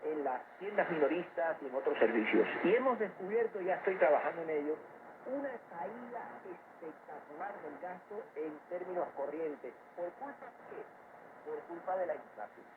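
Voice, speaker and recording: A man's voice: a little high, animated, normal speed. A man giving explanatory, opinionated economic commentary as an expert guest in a radio interview, heard over shortwave radio. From Cuba. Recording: noisy shortwave radio capture, narrow phone-like band. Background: loud shortwave static and noise.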